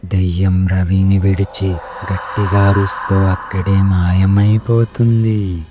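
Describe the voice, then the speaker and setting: A man's voice: low-pitched, level tone, takes it slowly. A man narrating a scripted horror story as its storyteller. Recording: music behind the voice.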